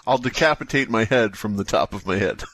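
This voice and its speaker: A man co-hosting a podcast with casual, mocking, deadpan comic banter. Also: on the low side, a little fast, noisy background. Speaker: podcast co-host.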